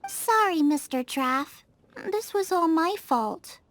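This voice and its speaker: A woman's voice: very high voice, lively intonation, slow pace, a bit bright, a little hiss or hum, exaggerated voice. A woman voicing a cheerful, headstrong young female chef in a game cutscene, bubbly but stubborn. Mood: apologetic. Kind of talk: video game cutscene dub.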